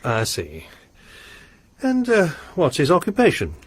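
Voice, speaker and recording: A breathy, halting victorian gentleman lodger, secretive, pitch rolls up and down. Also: huge pitch swings, heavily acted. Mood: hesitant. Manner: hesitant, halting with small pauses. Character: tall gaunt gentleman lodger, hesitant and secretive. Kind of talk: radio drama acting.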